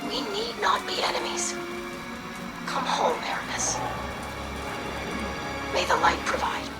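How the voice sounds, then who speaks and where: A woman's voice: lowish voice, huge pitch swings, takes it slowly, heavily acted. A woman playing an earnest, compassionate young female alien scribe in a video game, speaking in formal, solemn phrases. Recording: loud game music behind.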